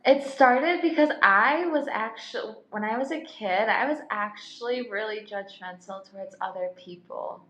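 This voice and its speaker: A young woman whose first language is Polish talks in a loose, chatty way, circling back with likes and restarts. Her voice sits at a middle pitch. The room around her rings with echo. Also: a little slow, few pauses, a bit bright, highs cut off, a little hiss or hum. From United States. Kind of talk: casual podcast conversation. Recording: roomy echo.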